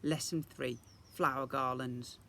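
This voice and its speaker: A man reading a children's story aloud in the expressive style of a teacher reading to young children. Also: medium pitch, unhurried, vocal fry.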